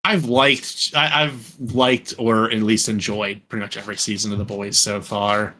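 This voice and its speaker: A man with a fairly low, bright and forward voice hosts a casual podcast. He rambles and doubles back on his words as he chats with the group. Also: little pitch movement, moderate pace, slight background noise. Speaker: podcast host.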